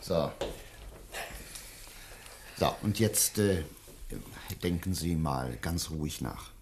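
An actor in a German radio play, playing a patient gentleman who questions a witness in a reassuring, gently probing way. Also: extremely low pitch, animated, slow pace, noisy background, heavily acted. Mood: soothing.

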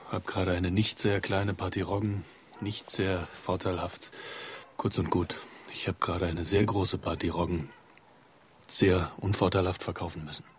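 An actor playing a melancholy middle-aged merchant senator in a radio drama, speaking in a halting, weary way. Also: male voice, low-pitched, flat delivery, slight background noise, lightly acted. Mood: downcast. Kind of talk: German radio drama acting.

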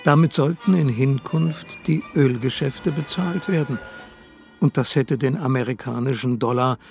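A man narrating a radio play in a measured, deliberate way. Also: mid-range voice, gravelly, a little mellow, soft background music, natural voice. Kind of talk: scripted narration.

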